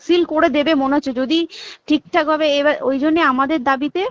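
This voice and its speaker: A woman's voice: high voice, fairly even intonation, brisk, dry recording. A young woman with a West Bengal Bengali accent talks casually but insistently on a recorded phone call, often asking emphatic rhetorical questions.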